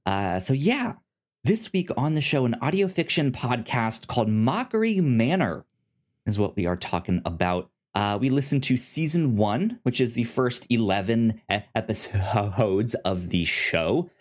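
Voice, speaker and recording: A man hosting a casual review podcast, informal and halting, stammering over the starts of words. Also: mid-range voice, sing-song. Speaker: podcast host.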